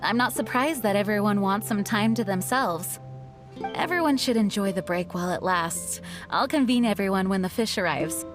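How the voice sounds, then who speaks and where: A woman playing a responsible, kindly young female leader in an anime-style video game, measured and formal. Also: medium pitch, animated, faint music behind, close to an everyday voice. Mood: composed, considerate.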